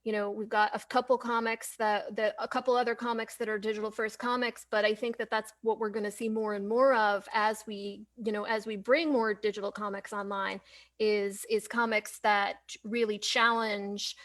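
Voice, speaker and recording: A woman's voice: mid-range voice, flat delivery, normal speed, a bit bright. A woman hosting an informal conversational interview, repeating phrases for emphasis as she talks. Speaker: interviewer, host.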